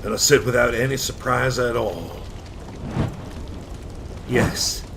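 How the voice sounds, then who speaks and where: An actor playing a haunted, weary man recounting an eerie ordeal in old-time radio horror style. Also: mid-range voice. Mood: awestruck, intense. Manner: slow, brooding first-person storytelling. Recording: clearly noisy radio drama recording.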